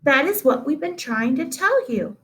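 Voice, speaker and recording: A woman's voice: sits high, animated, normal speed, a bit bright, pitched above the performer's own voice. A woman voicing a matter-of-fact young schoolgirl in a storybook, firmly explaining herself. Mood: insistent.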